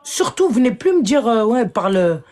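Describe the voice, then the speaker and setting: A woman's voice: a little high, sing-song, moderate pace. Fired-up woman ranting on a live stream. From France. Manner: indignant, confrontational, swings between shouting and muttering; addresses viewers directly, scolds commenters.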